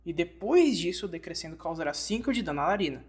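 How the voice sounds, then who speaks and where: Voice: medium pitch, big pitch swings, fast, husky. Brazilian tutorial narrator with a mid-range voice. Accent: Brazilian Portuguese. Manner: instructive, addressing the learner directly. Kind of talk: scripted card game tutorial narration, fan dub.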